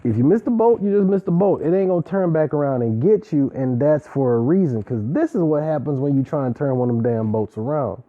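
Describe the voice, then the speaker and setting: A man's voice: on the high side, animated, a little fast, few pauses, a little hiss or hum. A man giving a casual, opinionated recap of a reality show, talking in an emphatic, slangy way with preachy asides. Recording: tinny phone-like sound.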